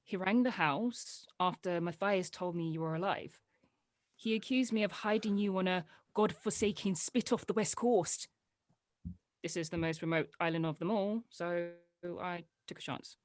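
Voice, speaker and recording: A woman's voice: lowish voice, normal speed, lightly acted. A woman playing a guarded, sardonic young adult daughter in a drama table read, dry and blunt. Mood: exasperated.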